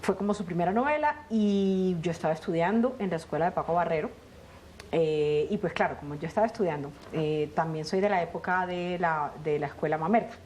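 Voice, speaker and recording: A middle-aged woman from Colombia, a guest in a conversational interview, telling family stories in an animated way and acting out the dialogue. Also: lowish voice, brisk, slight background noise. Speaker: guest, actress.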